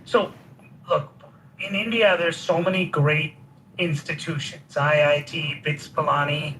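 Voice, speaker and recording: A man's voice: on the high side, steady tone, measured pace, sharp tone, a little hiss or hum, rough recording. A man in an online chat room pushing back with questions. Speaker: participant asking a question.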